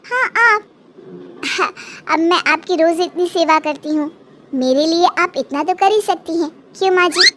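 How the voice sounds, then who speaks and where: A young cartoon daughter-in-law with a very high voice, played by a woman. She is sly and politely bossy, wheedling with sweet insistence. Faint noise sits behind her. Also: moderate pace. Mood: sweetly demanding. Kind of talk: Hindi cartoon moral story acting.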